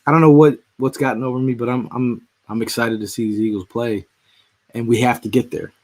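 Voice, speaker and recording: A man's voice: medium pitch, steady tone, brisk, a little mellow. Philly-male podcast host, voice just back after losing it, rambling casual sports talk. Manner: rambling, frequent restarts and fillers. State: voice recovering from being lost.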